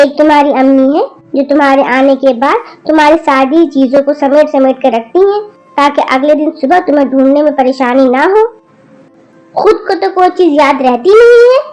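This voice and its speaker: A woman's voice: sits high, a little mellow, rough recording. A woman voicing a stern older grandmother who lectures her rude granddaughter firmly. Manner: scolding, lecturing, firm.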